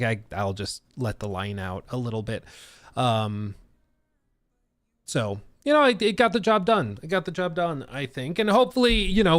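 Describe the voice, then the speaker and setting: Lively, slightly wheezy male streamer. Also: mid-range voice, no room sound, studio quality. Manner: rambling, animated, lots of fillers and restarts. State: slightly wheezy and short of breath. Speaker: streaming host. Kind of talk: casual live-stream chat.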